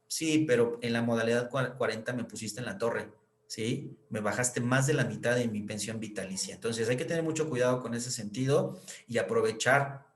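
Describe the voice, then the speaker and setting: A mid-pitched Mexican man works through pension figures like an accountant teaching a class. He fills gaps with "eh" and keeps checking that the listener follows. The room around him rings with echo. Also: normal speed. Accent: Mexican Spanish. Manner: explanatory, many fillers and tag questions. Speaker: accountant explaining pension reform. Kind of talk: explanatory lecture. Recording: echoey room.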